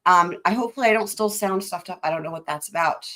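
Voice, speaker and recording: A woman hosting a live stream, chatting excitedly and directly to her viewers about courtroom clips. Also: lowish voice, fast talker. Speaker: livestream host. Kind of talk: casual live commentary.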